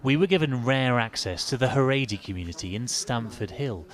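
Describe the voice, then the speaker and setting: A man giving a measured, serious television news report as the reporter. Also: mid-range voice, expressive, slight background noise. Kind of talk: scripted news report and live studio analysis.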